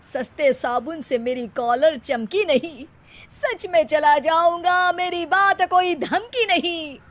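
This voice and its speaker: An idle young cartoon husband voiced by a woman, theatrical and fond of comic couplets. The voice is high and the delivery drawn out and declamatory. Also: takes it slowly. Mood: wounded, dramatic. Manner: declaims rhyming couplets dramatically.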